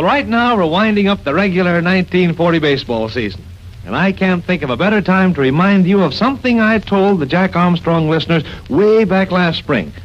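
A man announcing and narrating in an emphatic, polished 1940s radio style. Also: sits high. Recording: dull lo-fi 1940s radio recording.

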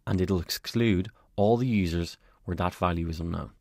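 A man calmly narrating a step-by-step software tutorial as a voice-over on a screen recording. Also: deep voice, moderate pace, no echo, studio quality. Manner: calm, step-by-step explanatory.

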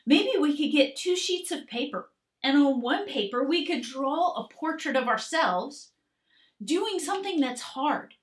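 A woman art teacher reading aloud and talking to young children in an expressive, gentle, encouraging manner. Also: high voice. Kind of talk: expressive children's storybook read-aloud and lesson.

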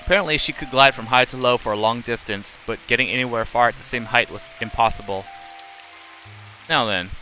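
A man's voice: medium pitch, a little fast, sharp tone, soft background music. A middle-aged man live streaming, reading game text aloud in a plain, unacted way with casual asides and occasional stumbles.

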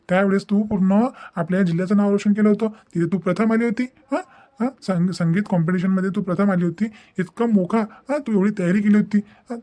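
A man's voice: high voice, dark tone, natural voice. A man voicing a patient, devoted young husband in a cartoon family drama, coaxing and repeating himself. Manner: coaxing, repetitive, tags phrases with "haan".

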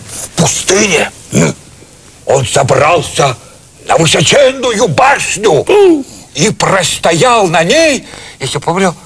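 An old fairy-tale witch, fussy and chatty. The voice sits high and swings widely, drawing out key words with theatrical emphasis for a children's TV show. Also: very animated, slow pace, pauses often, a bit bright, slightly rough, heavily acted. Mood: solemn storytelling. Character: chatty old Baba Yaga witch, female, fussy storyteller. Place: indoor TV studio set.

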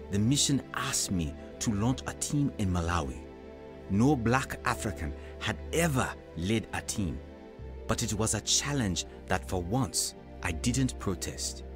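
An actor playing an earnest, self-doubting African evangelist nearing forty, telling his testimony in an audio drama in a measured, confessional way. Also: lowish voice. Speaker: narrator and lead character in an audio drama.

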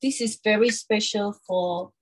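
A woman in her thirties presenting a live cooking demonstration from a home kitchen, in an instructional, halting way with frequent check-ins. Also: mid-range voice. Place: small home kitchen, indoors.

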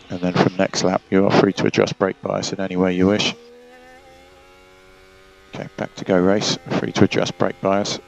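Male race engineer: low, flat, gravelly, calm and methodical over radio. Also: low-quality recording. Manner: calm, steady, repeats key numbers. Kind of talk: team radio instructions.

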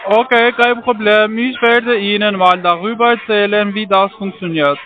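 High-pitched foreign-accented man on a muffled line. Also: fairly even intonation. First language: not German. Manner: halting, ungrammatical German. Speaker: call centre phone salesman. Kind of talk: phone sales pitch.

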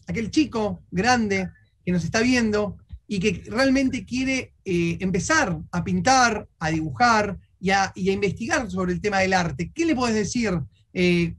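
Halting male interviewer on a call. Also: high-pitched. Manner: halting, repeats words before asking. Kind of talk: interview questions. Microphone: video call.

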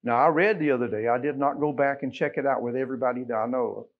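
A middle-aged man with an American accent preaching a sermon in a folksy, conversational way, leaning on emphatic rhetorical questions, with a husky voice. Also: medium pitch, steady tone, quick pace, narrow, tinny audio. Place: church sanctuary, at a pulpit.

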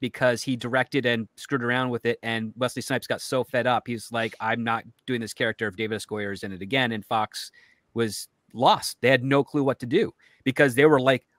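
A man's voice: medium pitch, steady tone, fairly quick, fairly bright tone, no room sound, studio quality. A man giving casual, explanatory film commentary as a panelist, with an assertive, storytelling delivery. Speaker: panelist in a film debate show.

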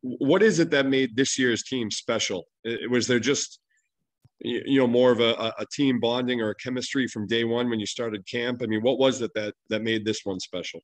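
A man's voice: mid-range voice, fairly even intonation, moderate pace, dry recording. Dry-sounding middle-aged male reporter. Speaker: sports reporter. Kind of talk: interview questioning. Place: indoor room on a video call. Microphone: video call, close to device.

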